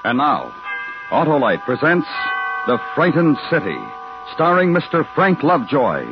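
Old time american radio announcer guy, dramatic intro, highish voice, muffled w/ loud music under. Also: big pitch swings, a little slow. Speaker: program announcer.

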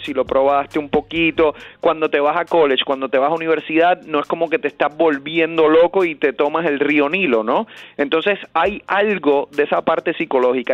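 A man from Puerto Rico, a doctor, calmly explaining a health topic as a guest on a radio show. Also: on the high side, dry recording, phone-quality sound. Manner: measured, explanatory, some hesitations.

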